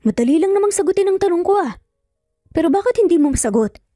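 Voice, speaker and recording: A woman's voice: very high voice, animated, fast talker, mellow, no room sound, clean studio sound, voice pushed up. A woman voicing a frustrated, insistent man, a lawyer in a romance story, earnest, with music behind. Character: frustrated, insistent male lawyer demanding answers. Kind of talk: Tagalog romance drama storytelling. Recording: music bed under the voice.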